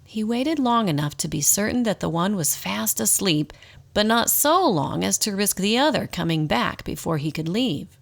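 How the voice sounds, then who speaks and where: A woman narrating a scripted radio drama in an expressive storybook style. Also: medium pitch, moderate pace, creaky.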